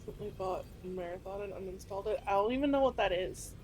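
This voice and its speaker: High-pitched man streaming a game. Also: fast talker, a little mellow, slight background noise. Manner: offhand, puzzled asides. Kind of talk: casual livestream commentary. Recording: faint game music behind.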